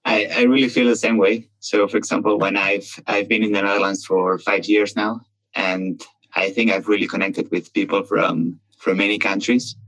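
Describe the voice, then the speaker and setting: A man with a very low voice joins a podcast conversation remotely, eager and friendly. He talks in halting bursts and often repeats words as he gathers his thoughts. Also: flat delivery, fairly bright tone, low-quality recording. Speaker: researcher, podcast guest. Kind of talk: casual chat. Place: remote, not in the studio.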